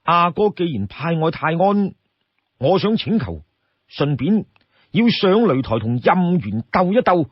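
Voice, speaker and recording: Man voicing an eager, high-pitched young fighter. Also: very animated, measured pace, breathy edge, dry recording, lo-fi sound, exaggerated voice. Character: eager, proud young male outlaw fighter.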